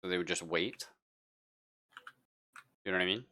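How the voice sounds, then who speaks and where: Bright, low-voiced man running a game. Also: fairly even intonation, moderate pace. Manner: casual, hesitant thinking aloud, swearing. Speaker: game master. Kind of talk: improvised narration of a tabletop roleplaying game. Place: live online stream.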